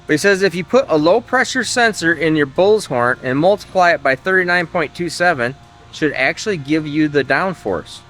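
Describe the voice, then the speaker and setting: A man's voice: on the high side, expressive, moderate pace, a bit bright, slight background noise. A man hosting an engine-building video, chatting casually to viewers and thinking aloud, often trailing off mid-sentence. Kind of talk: casual shop talk to viewers. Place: engine workshop.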